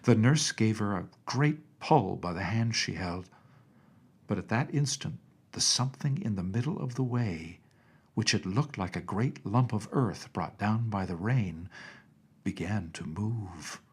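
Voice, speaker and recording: Slightly husky male storybook narrator. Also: on the low side, animated, unhurried. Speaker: audiobook narrator.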